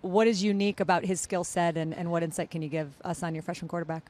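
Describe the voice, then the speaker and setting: A woman's voice: fairly low pitch, steady tone, fast, a little mellow. A woman hosting a TV sports interview, asking chatty, upbeat, long-winded questions. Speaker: TV sports host.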